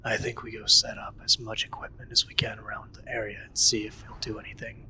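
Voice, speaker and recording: A man's voice: fairly low pitch, completely flat delivery, fairly quick, pushed and bright, whispered. A man playing a serious, take-charge young investigation leader in an audio drama, with a dry, terse manner. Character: Simon, serious young man leading a paranormal investigation team.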